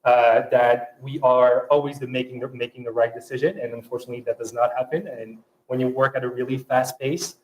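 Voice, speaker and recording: A man's voice: medium pitch, fairly even intonation, echoey room, dull audio. French-accented man with many restarts. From France. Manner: halting, many restarts and fillers. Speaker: panel guest. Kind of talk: fireside chat on stage.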